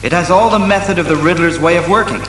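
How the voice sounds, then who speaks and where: An actor playing a serious, commanding masked detective hero, earnest and deliberate, in an audio drama. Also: male voice, sits high, fairly bright tone, faint music behind. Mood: intent.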